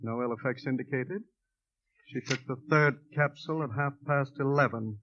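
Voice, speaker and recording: An actor playing a guarded, formal hospital doctor, measured and clinical, in a 1950s radio drama. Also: male voice, medium pitch, fairly bright tone, a little hiss or hum, close to an everyday voice. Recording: dull lo-fi 1950s radio recording.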